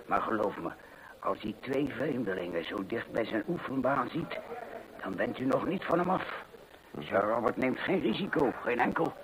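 An actor playing a chatty country innkeeper in an old radio play, nervously apologetic, hesitant and deferential. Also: male voice, medium pitch, expressive, normal speed, crowd noise, natural voice. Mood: confiding, warning. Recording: noisy old radio recording.

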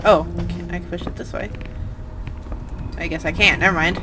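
A woman's voice: on the low side, fairly even intonation, moderate pace, over music. A woman chatting casually as she plays a video game, reacting in short muttered remarks and exclamations. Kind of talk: casual let's play chat while playing.

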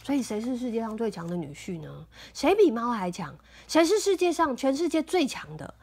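A woman reading a picture book aloud to her child at home, gentle and sing-song, pausing to explain. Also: a little high, very animated, husky. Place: home, indoors.

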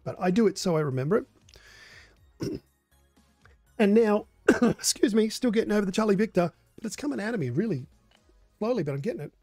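An older man hosting a relaxed live modding tutorial, explaining code in a hoarse, coughing voice. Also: high-pitched, fairly quick, pauses often, no room sound, soft background music. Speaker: modding stream host.

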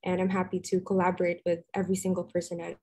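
Teen female panelist, friendly, flat steady delivery. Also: on the low side, rapid-fire, husky. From Philippines. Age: teens. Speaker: youth panelist. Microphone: video call.

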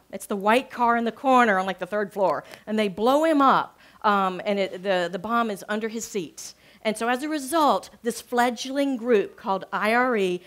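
A middle-aged American woman, a professor, giving a conversational slide talk and explaining things with emphasis on key words. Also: mid-range voice. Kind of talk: informal lecture with slides. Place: medium-sized cafe room with an audience, indoors.

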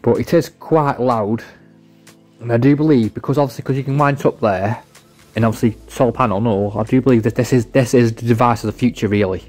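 A man's voice: mid-range voice, fast, darkish tone, faint music behind. A man giving a casual, informal product demonstration, rambling and repeating himself as he goes. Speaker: product reviewer.

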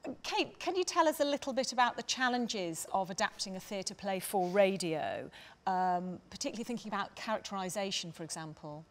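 A woman's voice: mid-range voice, lively intonation, brisk. A woman moderating a live panel in an encouraging, conversational way. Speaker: panel chair. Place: theatre stage, seated panel.

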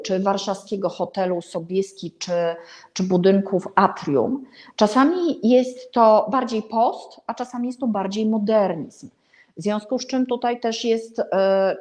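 A middle-aged woman hosts an informal book review to camera, pausing and correcting herself as she goes. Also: mid-range voice, a little mellow. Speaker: vlog host recommending books. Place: indoor room hung with paintings.

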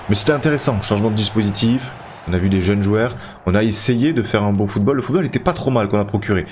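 Chatty French-speaking gamer, lowish voice. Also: male voice, a little mellow, slight background noise. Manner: chatty, addresses viewers as friends, many fillers. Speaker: gaming YouTuber. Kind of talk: casual commentary over a football career game.

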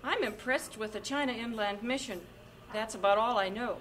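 An actress playing an earnest, determined young woman in a radio drama, soft-spoken but firm. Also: on the high side, normal speed, lightly acted. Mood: polite. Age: young adult.

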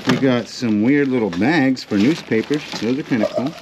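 A man's voice: mid-range voice, moderate pace. Chatty man vlogging at a storage lot. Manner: chatty, joking, teasing. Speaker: storage unit reseller and vlogger. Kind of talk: casual running commentary to camera. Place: outdoors at a shipping container in a storage lot. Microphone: action camera worn on the body. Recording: noisy outdoor camera audio.

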